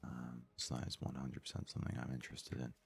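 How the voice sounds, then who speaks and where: A man with a deep, rough, gravelly voice gives soft-spoken, unhurried ASMR commentary as a gaming host. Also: completely flat delivery, soft background music. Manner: soft-spoken, low-key, unhurried musing. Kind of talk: ASMR let's play commentary.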